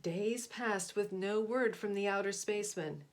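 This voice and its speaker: A woman's voice: medium pitch, unhurried, slight background noise. A woman narrating a children's picture book aloud in a dramatic, emphatic way. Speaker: storybook reader.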